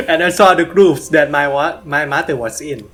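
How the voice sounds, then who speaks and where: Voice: mid-range voice, measured pace, music in the background. A speaker telling a family story casually, with an amused manner.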